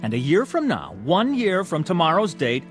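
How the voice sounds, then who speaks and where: A man's voice: fairly high pitch, huge pitch swings, normal speed, faint music behind. An actor playing a proud, wryly bitter clubman in an audio drama, speaking formally and theatrically. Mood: cool, defiant. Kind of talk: modern audio drama acting.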